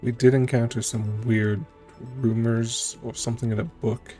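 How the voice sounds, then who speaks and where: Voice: mid-range voice, level tone, moderate pace, dark tone, faint music behind. A streamer giving casual, informal gameplay commentary in a musing manner. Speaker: streamer playing and reacting to a game.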